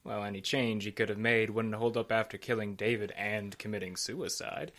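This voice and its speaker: An actor playing a calculating, sardonic heir in a radio detective play, self-assured and dismissive. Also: male voice, on the low side, fairly even intonation, normal speed, fairly bright tone. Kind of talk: old-time radio detective play acting.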